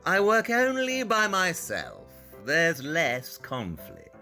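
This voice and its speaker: This is a sharp-toned, aloof detective, as a man plays him in an audio drama. He talks in clipped, condescending lines with a lively, swinging pitch. Faint piano music plays behind him. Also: slow pace, gravelly, exaggerated voice. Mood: cool, deductive. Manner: curt, condescending, precise and clipped. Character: cold, brilliant male detective, aloof and impatient.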